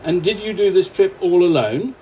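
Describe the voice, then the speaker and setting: A man playing a courteous, quietly wry train passenger in a radio play, mild and conversational. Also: sits high, animated, moderate pace, slightly rough, low-quality recording. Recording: faint noise.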